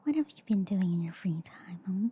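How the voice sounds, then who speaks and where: A woman voicing a friendly, slightly shady alien girl doctor in a whispered ASMR roleplay, soothing and hesitant with soft repeated reassurances. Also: fairly low pitch, lively intonation, normal speed, lightly acted. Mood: gently explaining. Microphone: close ASMR microphone. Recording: faint background noise, close-up ASMR.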